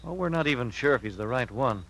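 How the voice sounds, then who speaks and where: An actor playing a persuasive, insistent but kind young detective in a 1950s radio drama. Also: male voice, mid-range voice, lively intonation, fast, fairly bright tone. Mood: earnest, pleading. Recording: hissy, humming 1950s radio recording.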